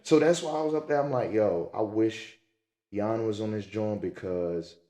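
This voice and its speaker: This young man talks in a relaxed, slang-heavy storytelling style, low-pitched with a dark, mellow tone. He tends to pad his sentences with "and whatnot". The room around him echoes. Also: normal speed. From raised in the Middle East and North Africa. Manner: laid-back slangy storytelling, frequent "and whatnot". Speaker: podcast guest. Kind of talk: casual conversation.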